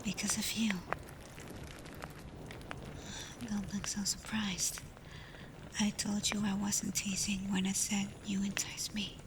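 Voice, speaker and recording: A woman playing a tender, protective alpha werewolf in an intimate ASMR roleplay, speaking softly and breathily, often in a whisper. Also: mid-range voice, flat delivery, close to an everyday voice. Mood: shy, affectionate. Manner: slow, gentle, hesitant when confessing feelings. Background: ambient storm noise.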